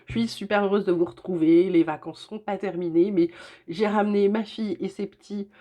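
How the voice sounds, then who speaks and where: A woman's voice: mid-range voice, steady tone, a little fast, dark tone. Chatty older woman with a cold. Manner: chatty, reassuring, many hesitations. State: has a cold. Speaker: tarot reader. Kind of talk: weekly tarot guidance. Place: at home.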